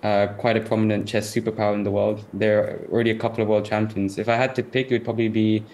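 A man's voice: fairly low pitch, little pitch movement, fast talker, darkish tone, a little hiss or hum. A teenage boy with a Southeast London accent, answering interview questions politely and hesitantly, with many fillers and restarts. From India, raised in the UK. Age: teens. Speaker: interview guest, chess player.

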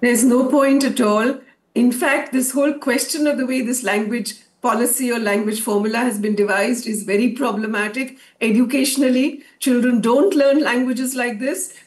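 Woman, professor, panel debate, high pitch, emphatic. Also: fairly even intonation, normal speed. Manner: emphatic, critical, explanatory.

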